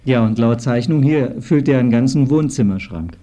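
A man's voice: medium pitch, lively intonation, normal speed, dark tone. A man working as a radio interviewer, putting questions in a formal, polite and composed way. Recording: 1950s archival radio recording.

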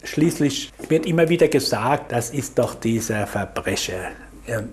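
A man in his sixties from the Palatinate, giving reflective interview testimony in a halting, earnest way. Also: medium pitch, lively intonation, slight background noise. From Palatinate, Germany. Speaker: interviewee, former gang leader.

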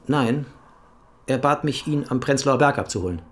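An actor playing a courteous but guarded clinic doctor in a radio drama, measured and careful. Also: male voice, medium pitch, lively intonation, close to an everyday voice.

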